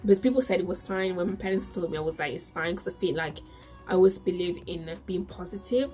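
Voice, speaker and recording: A woman's voice: on the low side, fairly quick, warm tone, soft background music. Chatty young woman with an Italian accent. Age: 20s. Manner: chatty, repeats herself. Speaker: vlogger. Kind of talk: casual storytelling to camera.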